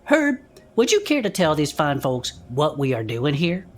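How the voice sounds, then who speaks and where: Folksy animated deep gnome, low-pitched. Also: female voice, normal speed, a little hiss or hum. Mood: folksy, curious. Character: folksy retired adventurer deep gnome podcast host. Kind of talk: audio drama acting as podcast banter.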